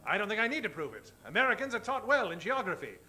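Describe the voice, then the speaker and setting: A man's voice: high voice, very animated, fast talker, bright, forceful tone, full character voice. A man playing a wisecracking, theatrical detective hero in an improvised old-time radio parody. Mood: wry, confident.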